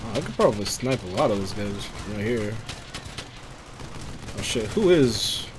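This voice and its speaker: A man giving casual, profane gameplay commentary, thinking out loud and reacting as he plays. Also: fairly low pitch, expressive, normal speed, background noise. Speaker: gamer recording a playthrough.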